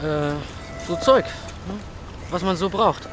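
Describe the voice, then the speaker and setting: A man's voice: sits high, slow pace, over music. An actor playing a laconic, practical young man, a blunt and casual buddy who talks in slang. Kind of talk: naturalistic audio drama dialogue.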